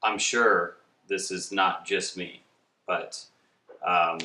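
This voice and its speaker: Low, bright-voiced man in his thirties. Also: steady tone, takes it slowly, slight background noise. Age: 30s. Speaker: vlogger father. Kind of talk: casual couple Q&A chat. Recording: roomy indoor echo.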